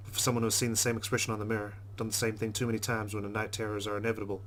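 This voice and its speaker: A man's voice: lowish voice, level tone, rapid-fire, fairly bright tone, a little hiss or hum. A man who hosts a let's play, reading story narration aloud in a wry, conversational way with casual asides.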